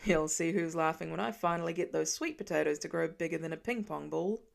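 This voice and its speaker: A woman voicing a gruff but playful farmer dad in an audiobook story, with grousing and hammy mock indignation. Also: sits low, fairly quick, pitched below the performer's own voice. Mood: grumbling, playful.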